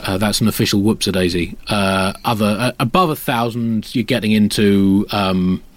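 A man hosting a satirical comedy chat in a deadpan, hesitant way. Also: on the low side, moderate pace, no room sound. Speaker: comedy podcast host.

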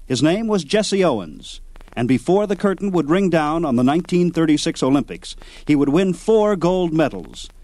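Male, documentary narrator, scripted delivery, fairly high pitch, close dry recording. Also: animated, moderate pace, warm tone, dry recording. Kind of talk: scripted sports history narration.

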